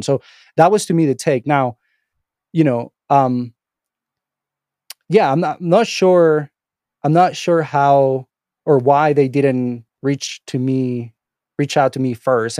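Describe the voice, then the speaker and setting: A man's voice: medium pitch, a little mellow, no echo. Venezuelan man, dry close sound. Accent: Venezuelan Spanish. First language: Spanish. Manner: rambling, many restarts and fillers. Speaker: interview guest, instructor and author. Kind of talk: casual interview conversation.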